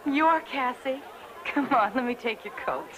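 An actress playing a brisk, friendly middle-aged woman who welcomes a newcomer. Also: sits high, crowd noise, noisy background. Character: brisk, motherly middle-aged meeting hostess. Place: indoor meeting room.

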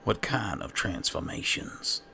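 A man's voice: on the low side, very animated, unhurried, creaky, sharp tone, slight background noise. A man doing in-character gameplay commentary in sardonic, muttered asides. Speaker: gamer playing in character.

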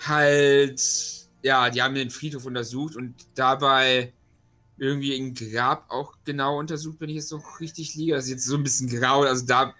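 A man's voice: mid-range voice, fairly even intonation, moderate pace, sharp tone, faint music behind. A man who plays in a tabletop role-playing game, giving a casual recap in a hesitant, rambling manner. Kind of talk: casual spoken session recap.

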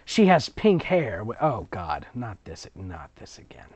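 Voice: medium pitch, sing-song, unhurried, darkish tone, breathy edge, lo-fi sound. A theatrical reader narrating fan fiction in a comic, mocking way, with sarcastic asides.

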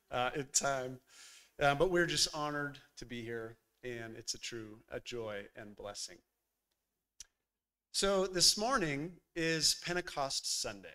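A man, preaching a sermon at a church pulpit in a lively, conversational way with frequent filler words. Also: mid-range voice, clean tone. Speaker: pastor. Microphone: boom mic on a stand at the pulpit.

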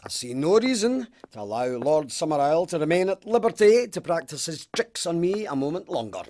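A man's voice: a little high, sing-song, brisk, a little mellow. An actor playing a stern, pious and haunted police sergeant in an audio drama, formal and emphatic in his speech.

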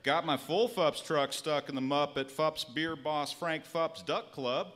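A man's voice: a little high, unhurried, a bit bright. A man in his thirties playing a laid-back, good-natured beer truck driver in a live comedy sketch full of tongue-twisters. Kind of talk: live radio-style beer ad parody. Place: stage in a small theatre. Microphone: stand microphone.

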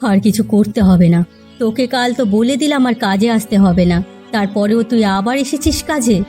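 A woman acts out a proud housewife brought low by misfortune. Her voice is high and mellow, with a plaintive, theatrical edge suited to a melodramatic cartoon fairy tale. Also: lively intonation, fairly quick, slightly rough, soft background music. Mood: regretful. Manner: dramatic, plaintive wailing. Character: proud rich housewife fallen on hard times, given to lamenting.

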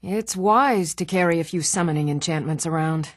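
An actress voicing an even-tempered townswoman in a fantasy video game. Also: on the low side, moderate pace, dry recording, studio quality, natural voice. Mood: approving. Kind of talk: video game character dialogue.

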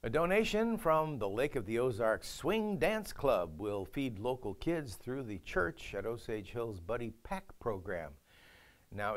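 An older man reads local news from a studio desk with a folksy, easygoing touch. His pitch moves around a lot, rising and falling through each story. He slips little jokes into the copy. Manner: folksy, jokey delivery. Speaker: news anchor.

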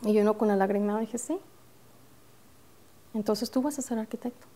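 A middle-aged Mexican woman, an architect and studio guest, telling her story with lively determination, with a northern Mexican accent touched by years in the US. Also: mid-range voice, moderate pace. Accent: northern Mexican Spanish, with some American influence. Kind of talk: TV studio interview. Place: TV studio set. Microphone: lapel.

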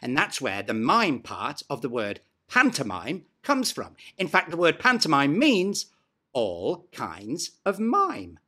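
Playful middle-aged man, wildly swooping pitch. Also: huge pitch swings, slow, sharp tone. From Britain. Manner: playful, exaggerated, sweeping pitch swings. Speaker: storyteller and pantomime dame. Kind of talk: scripted explainer narration. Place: small dressing room, indoors.

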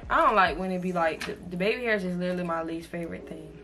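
A young woman in her twenties talks casually and playfully to her viewers, her voice sitting a little low. She sounds close to a camera in a bedroom, with music playing clearly underneath. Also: fast. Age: 20s. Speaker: vlogger. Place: bedroom, indoors.

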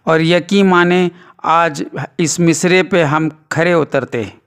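A man's voice: fairly high pitch, fairly even intonation, slow, a bit bright, smooth. A man reporting news as scripted narration with commentary, in formal, rhetorical phrasing.

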